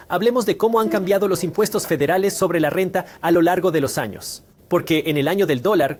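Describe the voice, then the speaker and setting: Man with a fairly high voice explaining. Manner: conversational, poses rhetorical questions to the viewer. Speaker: finance educator. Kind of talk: scripted explainer lesson.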